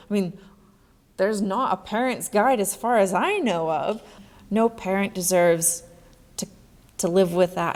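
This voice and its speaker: A woman's voice: medium pitch, a little mellow. A woman giving an earnest public speech, stressing her points with repeated words. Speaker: speaker at a press event. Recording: long hall reverb.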